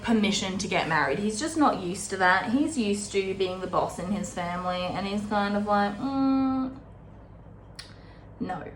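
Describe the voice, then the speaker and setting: A woman's voice: mid-range voice, fairly bright tone. A woman with a mid-range voice gives a casual, chatty book recap. She talks informally, slipping into conversational paraphrases of what characters say. The room is echoey, and there is faint noise behind her. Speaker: host of a book readalong. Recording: roomy echo with faint background noise.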